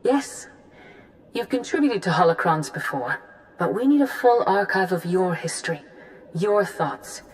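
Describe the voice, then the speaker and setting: An actress playing a grave, authoritative female Jedi grandmaster in a video game, speaking formally and deliberately. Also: lowish voice, animated, slight background noise. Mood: grave, earnest. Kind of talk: scripted video game dialogue.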